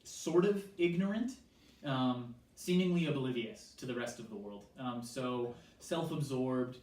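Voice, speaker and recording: A young American man from Southern California, with an accent softened by years in New Zealand, answering interview questions in a measured way with frequent ums. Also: mid-range voice. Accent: American, softened toward New Zealand. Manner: measured, frequent ums, quotes others with animation. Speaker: interviewee, church worker. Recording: echoey room, mic at a distance, faint hiss.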